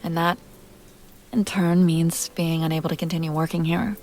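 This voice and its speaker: A woman playing a seductive, refined vampire heiress in an intimate roleplay audio drama, speaking softly in deliberate, genteel phrases with breathy, teasing asides that often drop to a whisper. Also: sits low, level tone, lightly acted. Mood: polite, explaining.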